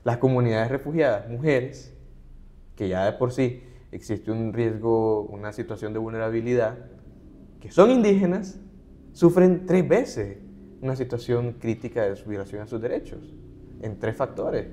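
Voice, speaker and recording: A man's voice: medium pitch, expressive, normal speed, mellow. A middle-aged man, a human rights advocate, earnestly explaining points as a guest in an interview. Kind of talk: conversational interview.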